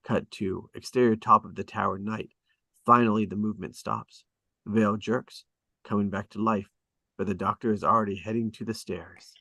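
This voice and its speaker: A man narrating screenplay stage directions at a table read in a measured, descriptive way, with short dramatic phrases. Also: on the low side, steady tone, vocal fry, sharp tone, dry recording.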